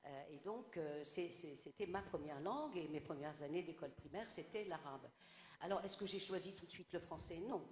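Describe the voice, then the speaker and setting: A woman's voice: low-pitched, normal speed, darkish tone, roomy sound, big-room sound, a little hiss or hum. A woman from Tunisia whose first language is Arabic, speaking as a writer on a discussion panel, reflective and full of stories. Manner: reflective, storytelling. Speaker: guest writer.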